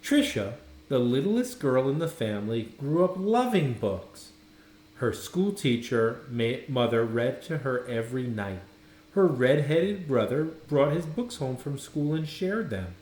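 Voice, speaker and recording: A man narrates a children's storybook like a teacher reading to a class. His delivery is expressive, with wide swings in pitch. The room adds clear echo. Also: a little slow. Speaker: educator reading a picture book aloud. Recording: echoey room.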